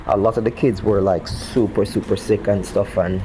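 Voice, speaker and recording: A man's voice: fairly low pitch, steady tone, moderate pace, background noise. A man chatting casually, often repeating his phrases for emphasis. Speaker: vlogger testing walkie-talkies outdoors. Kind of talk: casual chat over walkie-talkie.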